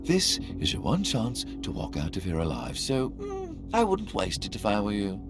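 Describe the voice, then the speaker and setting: Game villain casting: smug, menacing arms dealer, low voice that swings widely, drawling and theatrical, music underneath. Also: male voice, wildly swooping pitch, normal speed. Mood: blustering, threatening. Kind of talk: video game cutscene acting. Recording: music behind the voice.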